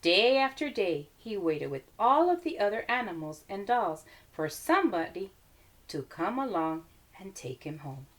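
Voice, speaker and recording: A woman storyteller reading a children's picture book aloud in an expressive manner. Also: medium pitch, measured pace.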